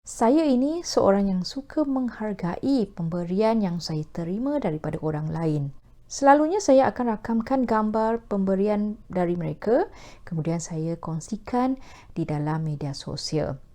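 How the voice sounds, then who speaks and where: Casting: Malaysian female host, soft mellow tone, formal and measured newsreader delivery to camera. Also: mid-range voice, lively intonation. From Perak, Malaysia. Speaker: host of a talk video series. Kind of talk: formal scripted presentation to camera.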